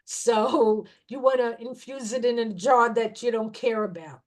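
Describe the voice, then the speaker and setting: A middle-aged woman talking informally about herbal remedies, explaining slowly and restarting her sentences as she goes. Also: on the high side. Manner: slow, unhurried explaining with restarts. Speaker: herbal educator.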